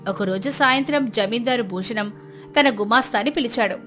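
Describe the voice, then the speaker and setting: Female narrator for moral tales: scripted storytelling, fairly high voice, clear background music. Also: moderate pace, sharp tone.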